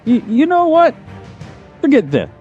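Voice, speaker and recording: Man doing a high-pitched cowardly villain sidekick. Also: wildly swooping pitch, measured pace, a little mellow, lo-fi sound, soft background music, full character voice. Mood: mocking, nervous. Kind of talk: audio drama acting.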